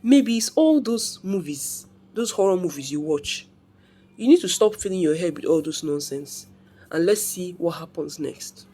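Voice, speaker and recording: A woman's voice: lowish voice, expressive, moderate pace, mellow, slightly rough. A woman voicing a reassuring, practical sister in a folktale, soothing and matter-of-fact. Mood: dismissive reassurance. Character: reassuring, practical sister of a troubled young mother.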